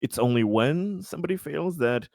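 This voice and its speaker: A man's voice: fairly high pitch, expressive, normal speed, vocal fry, darkish tone, no room sound, clean studio sound. A man playing a tabletop game, chatting casually in a tentative, wry way.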